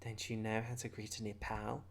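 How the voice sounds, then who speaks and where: A man's voice: on the low side, level tone, fairly quick, creaky. A man playing a laid-back, joking female prankster in a game fan fiction, with a nonchalant, wry manner. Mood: lazy teasing.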